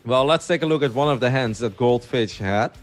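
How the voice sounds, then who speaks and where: A man's voice: mid-range voice, faint music behind. Upbeat man hosting a poker show. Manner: upbeat, brisk player introductions. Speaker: host. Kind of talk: poker broadcast presenting.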